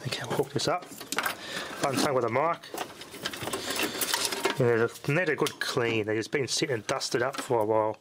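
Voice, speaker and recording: A man's voice: mid-range voice, normal speed, long pauses. A man casually talking through a hands-on demonstration, rambling and muttering to himself as he works. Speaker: hobbyist vlogger testing radios. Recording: loud noise with handling clicks.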